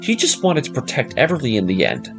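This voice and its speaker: Animated male narrator with music behind. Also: medium pitch, fairly quick, vocal fry. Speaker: narrator of anime story breakdown series. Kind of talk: scripted narration, story recap. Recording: voice-over with music underneath.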